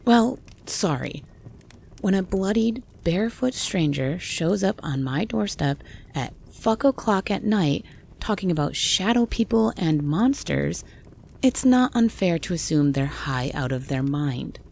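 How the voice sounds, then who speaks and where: A woman playing a gruff, wary cabin dweller, blunt and grumpy, in a horror audio roleplay. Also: on the low side, animated, measured pace, a little mellow, exaggerated voice. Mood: grudgingly apologetic. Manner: blunt, grumpy, foul-mouthed.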